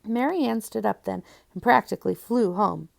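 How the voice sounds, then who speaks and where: A woman reading a children's storybook aloud in an expressive first-person narration. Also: mid-range voice, normal speed, creaky. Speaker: audiobook reader.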